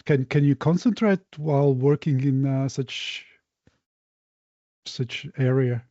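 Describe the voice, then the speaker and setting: A male podcast host asking interview questions in a hesitant, halting way with many fillers. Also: medium pitch, warm tone, dry recording, highs cut off.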